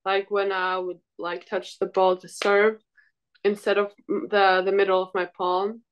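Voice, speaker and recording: Young woman athlete from greece chatting in an interview, takes her time, kinda hesitant, clean smooth voice. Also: mid-range voice, level tone, unhurried, lo-fi sound. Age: young adult. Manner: hesitant, informal, hedging. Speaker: volleyball player, interview guest. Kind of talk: casual conversational interview answers.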